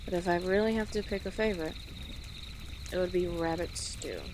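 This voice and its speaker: A woman playing an easygoing, friendly female orc travel guide in an audio roleplay, speaking gently and conversationally to the listener with pauses. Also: lowish voice, background noise, close to an everyday voice. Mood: fond, chatty. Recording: outdoor nature ambience with birdsong behind. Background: birds and rural outdoor ambience.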